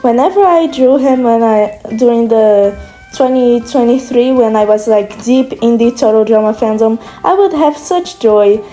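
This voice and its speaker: A woman's voice: a little high, normal speed, mellow, poor audio. A young woman from Brazil whose first language is Portuguese, chatting casually in a rambling, hesitant way with many restarts. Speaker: video creator. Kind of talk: casual monologue.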